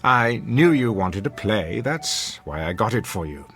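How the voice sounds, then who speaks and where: A cruel, sarcastic man of wealth in classic radio drama style. His diction is formal and haughty, and his pitch leaps about dramatically. Music plays faintly behind him. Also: very animated, a little slow, a bit bright, heavily acted. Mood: smug, mocking. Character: sneering, domineering wealthy husband and employer, cruelly sarcastic.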